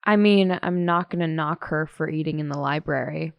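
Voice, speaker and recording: A woman's voice: low-pitched, moderate pace, vocal fry, smooth, dry recording, muffled recording. A young American woman playing a blunt, sarcastic teenage loner in a naturalistic audio drama. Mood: wry, defensive. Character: blunt, sardonic teenage loner girl, guardedly loyal.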